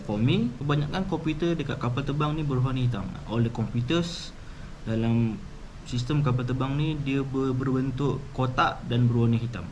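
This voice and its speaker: A Malaysian man hosts an informal explainer in an ordinary mid-range voice. He talks conversationally, switching in English terms and tossing in tag questions. Clear background noise sits behind him. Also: medium pitch, darkish tone, muffled recording. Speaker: YouTube channel host. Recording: clearly noisy background ambience.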